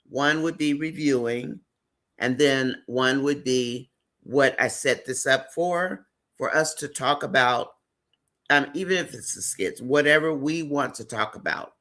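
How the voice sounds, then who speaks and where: A man hosts a relaxed live chat stream, talking in a loose, rambling way full of fillers. His voice has a bright, forceful edge and sits at an ordinary pitch. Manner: chatty and rambling, with frequent fillers.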